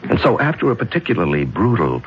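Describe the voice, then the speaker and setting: A man playing a calm, cold-blooded middle-aged judge who secretly kills, in a 1970s radio drama, smooth and coolly patronizing. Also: fairly low pitch, fast talker, slight background noise. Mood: soothing, reasoning. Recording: dull old radio broadcast with music.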